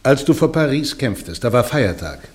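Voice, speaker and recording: A man's voice: medium pitch, big pitch swings, moderate pace, close to an everyday voice. A man as a probing, insistent church inquisitor. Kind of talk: German radio play acting.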